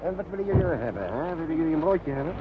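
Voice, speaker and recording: An actor in a Dutch radio play playing a kindly uncle who fusses over refugee children, coaxing them with little questioning tags. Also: male voice, a little high, animated, very fast, close to an everyday voice. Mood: gentle, caring. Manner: coaxing, repeating himself, ending phrases with little questioning tags. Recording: muffled noisy old radio play recording. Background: loud crowd and vehicle noise.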